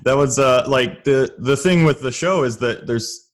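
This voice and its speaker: A man's voice: medium pitch, unhurried. A man talking casually as a guest writer in a podcast interview.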